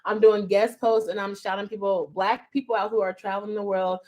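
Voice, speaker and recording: A woman's voice: mid-range voice, flat delivery, fairly quick, a little mellow. A woman hosting a casual podcast chat, playful and loose, reading out listener questions with plenty of filler "ums". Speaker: podcast host.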